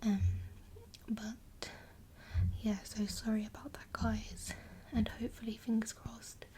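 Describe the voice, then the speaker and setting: Young woman in a soft whisper. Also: on the high side, flat delivery, takes it slowly. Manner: hesitant, rambling with restarts. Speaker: beauty vlogger. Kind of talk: whispered ASMR makeup chat.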